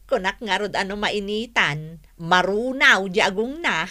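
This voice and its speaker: An actress playing a thrifty, chatty, cheerful married woman in an Ilocano radio drama, expressive and teasing. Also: mid-range voice, slow pace, dry recording, full character voice. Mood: gossipy, amused.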